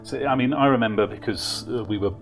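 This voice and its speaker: A man interviewing a younger churchgoer, asking gentle questions and adding encouraging affirmations. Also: medium pitch, fast, soft background music. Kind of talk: casual interview.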